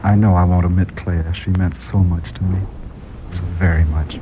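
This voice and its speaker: An actor playing a self-satisfied businessman confessing his story wistfully and deliberately in old radio drama style. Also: deep voice, fairly even intonation, music in the background, close to an everyday voice. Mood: wistful confession. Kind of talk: 1940s radio drama, first-person confession. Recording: dull, noisy old radio recording.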